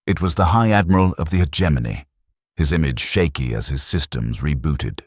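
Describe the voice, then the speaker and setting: A man reading a science fiction audiobook with grave drama. His voice is extremely deep and husky, with plenty of movement in pitch. The sound is close and dry. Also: expressive, moderate pace, no room sound. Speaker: narrator. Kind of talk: scripted audiobook narration.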